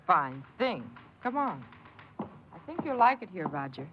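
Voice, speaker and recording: An actress playing a friendly, encouraging young church group director in a 1950s radio drama. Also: female voice, fairly low pitch, sing-song, measured pace. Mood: cheerful, teasing. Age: young adult. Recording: muffled, hissy 1950s radio recording.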